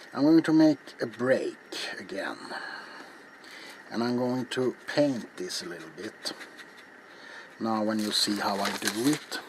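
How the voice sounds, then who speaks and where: Slow, low, hushed man with noisy background. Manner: hushed, often dropping into a whisper, halting. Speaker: ASMR video maker and host. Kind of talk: ASMR, softly spoken craft demonstration to viewers. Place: small cluttered indoor workshop.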